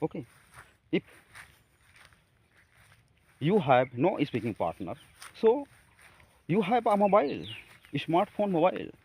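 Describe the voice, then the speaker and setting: Indian-accented man, Hindi native, halting speech with fillers, fairly high voice, very animated pitch, faint birds outside. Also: husky. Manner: halting, with frequent fillers and restarts. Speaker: English-practice vlogger. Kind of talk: casual talk to camera.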